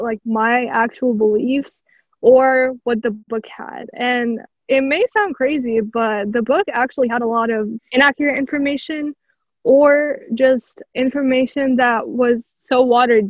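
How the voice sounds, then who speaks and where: A woman's voice: high-pitched, no echo, muffled recording. A young woman of Palestinian origin, a guest in a casual interview, speaking in an earnest, reflective way with frequent fillers. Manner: earnest, reflective, uses "honestly" and "like" often.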